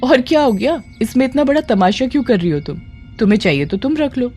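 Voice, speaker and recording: Woman acting an evasive, higher-pitched young woman. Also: lively intonation, fairly quick, darkish tone, soft background music. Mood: breezy, dismissive. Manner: nervous when cornered, airily dismissive, over-explaining. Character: evasive young woman feigning breezy innocence. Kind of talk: audio horror drama acting.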